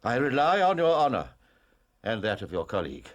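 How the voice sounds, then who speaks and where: An actor playing a stern, imperious Prime Minister with an upper-class English accent, weighty and formal, in a period drama. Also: male voice, medium pitch, big pitch swings, a little fast, highs cut off, close to an everyday voice. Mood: stern, conceding. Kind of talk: British period detective drama acting.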